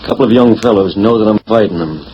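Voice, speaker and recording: An actor playing a smug, scheming blackmailer in a 1940s radio western, mocking and coolly threatening in a mock-genteel way. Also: male voice, lowish voice, quick pace, natural voice. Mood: coolly menacing. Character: smug, menacing male villain, a scheming blackmailer. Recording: dull, faintly noisy 1940s radio recording.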